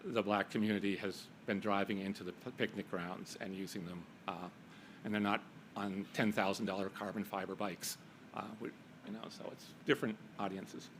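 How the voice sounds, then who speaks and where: Halting male panel speaker. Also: on the low side, fairly even intonation, noisy background. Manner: halting, repeats words, casual asides. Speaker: symposium speaker. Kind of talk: panel talk.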